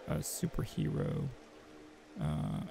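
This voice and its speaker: A man with a gravelly voice reading a visual novel aloud as a game player, mixing narration with informal joking asides. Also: takes it slowly, warm tone, faint music behind. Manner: informal, joking commentary between read passages.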